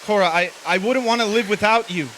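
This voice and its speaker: A man plays a devoted, weary lover in an old-fashioned stage melodrama. His voice sits a bit high and is earnest and coaxing. Clear noise runs through the live recording. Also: a little fast, a bit bright. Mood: concerned. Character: Frank, devoted, world-weary man in doomed love.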